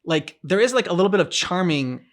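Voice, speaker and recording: A man's voice: sits high, lively intonation, brisk. A man co-hosting a game reaction show, chatting casually, rambling and often repeating and restarting his phrases. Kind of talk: casual discussion of a game's story.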